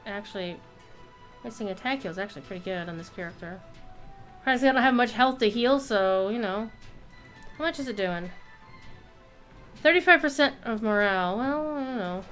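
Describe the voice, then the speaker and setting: A woman giving casual live commentary while streaming a game, playful and expressive, with some salty language. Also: mid-range voice, moderate pace, long pauses, faint music behind, poor audio.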